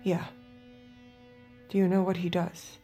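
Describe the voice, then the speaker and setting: A young American android woman in a naturalistic audio drama. Her voice is soft and mellow, hushed and earnest, pleading for help as she guards a secret. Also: lowish voice, completely flat delivery, slow, soft background music. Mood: hushed, confessional.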